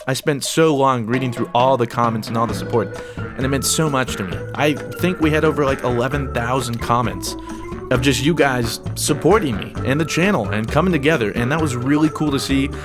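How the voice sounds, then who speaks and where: Upbeat, energetic man vlogging. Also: mid-range voice, fairly quick, over music. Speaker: YouTube gaming channel host. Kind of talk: casual vlog, reading viewer comments.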